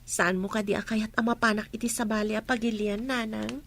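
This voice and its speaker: A woman's voice: a little high, level tone, brisk, a bit bright, a little hiss or hum. A woman playing a dutiful, affectionate young daughter in a drama. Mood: earnest. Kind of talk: radio-style drama dialogue.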